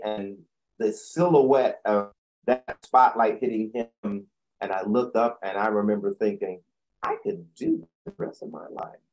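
A man in his sixties with a deep voice, telling a long personal story as an interview guest, often correcting himself as he goes. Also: a little mellow, low-quality recording. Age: 60s. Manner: rambling, self-correcting storyteller.

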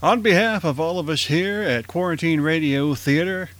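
A man hosts and announces for a radio drama show. His voice sits a little higher than average. The sound is very dry, with no room around it. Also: normal speed, a bit bright, no echo. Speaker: host and announcer.

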